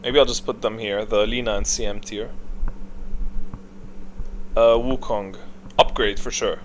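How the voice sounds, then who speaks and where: A man doing casual, unscripted tier-list commentary, opinionated and halting as he thinks aloud. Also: fairly low pitch, fairly even intonation, slight background noise. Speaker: video creator, gaming commentator.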